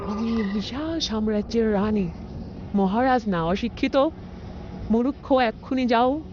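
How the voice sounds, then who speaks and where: A woman's voice: fairly high pitch, a little slow, over music, natural voice. A woman voicing a greedy, mocking female tyrant queen in a cartoon who cackles and barks orders. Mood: greedy, scornful. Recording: muffled lo-fi with loud noise.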